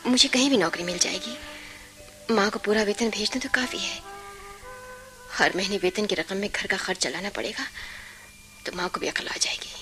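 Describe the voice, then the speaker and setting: An actress in a radio play voicing a resolute, sharp-tongued young working daughter with a firm, outspoken manner. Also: female voice, medium pitch, moderate pace, long pauses, faint music behind, close to an everyday voice. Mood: calm, resolute. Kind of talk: Hindi radio play acting.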